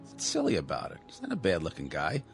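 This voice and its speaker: A man's voice: low-pitched, wildly swooping pitch, fast, creaky, exaggerated voice. An actor playing a blunt, teasing regular guy in a radio drama, casual and wry. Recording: music bed under the dialogue.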